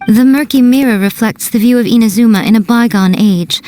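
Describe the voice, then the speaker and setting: A woman voicing a sly fox-spirit shrine priestess in a game dub, smooth, playful and teasing. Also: on the high side, brisk, mellow, close to an everyday voice.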